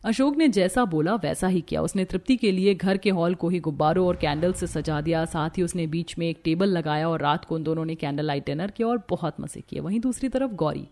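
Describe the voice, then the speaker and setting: A woman's voice: on the low side, brisk, hardly any pauses, dark tone. Woman narrating a story over music.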